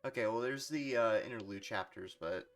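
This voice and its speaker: A man's voice: lowish voice, moderate pace. A man giving casual, rambling livestream commentary as he explains the video games he plays. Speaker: video game streamer. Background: game music.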